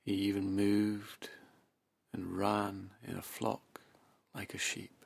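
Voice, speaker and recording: A man guiding a hypnosis session, speaking softly and slowly in a half-whispered, soothing delivery. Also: on the low side, monotone, gravelly, no room sound. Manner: soft, slow, half-whispered. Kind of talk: guided hypnosis narration.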